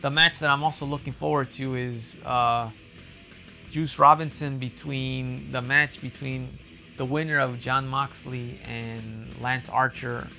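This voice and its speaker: Gravelly, bright-voiced man rambling casually. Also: flat delivery, a little slow, faint music behind. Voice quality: loud booming voice. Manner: rambling, repeats himself, many fillers and restarts. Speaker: wrestling fan commentator. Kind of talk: casual monologue.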